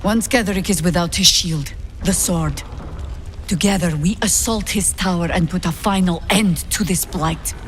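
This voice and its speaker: A woman playing a shrewd, very old druid heroine in a fantasy game, speaking in a measured, formal, authoritative way. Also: on the low side, a little hiss or hum, natural voice. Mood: grave, resolute. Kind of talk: fantasy video game dialogue.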